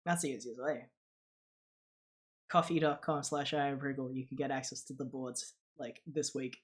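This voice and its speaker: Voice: mid-range voice, steady tone, normal speed. A young adult streamer from Australia with an Australian accent, chatting casually and rambling, often breaking into laughter and cutting off their own sentences. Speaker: streamer, channel host.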